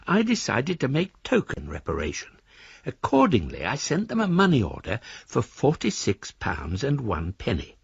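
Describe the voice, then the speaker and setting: A man's voice: medium pitch, creaky, breathy edge, no room sound, dull audio, heavily acted. An actor playing an older maverick, wryly courteous and pedantic, reading his letter aloud in a radio drama. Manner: pedantic, mischievously formal, genteel. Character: Julius Hutch, elderly eccentric maverick, wryly courteous letter writer.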